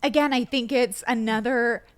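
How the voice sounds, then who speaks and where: A woman's voice: fairly high pitch, fairly even intonation, moderate pace, clear, resonant tone. A woman co-hosting a podcast, talking in a casual, playful way and peppering her speech with "like.". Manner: chatty, playful, hedges with "like". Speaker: podcast co-host. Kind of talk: casual chat.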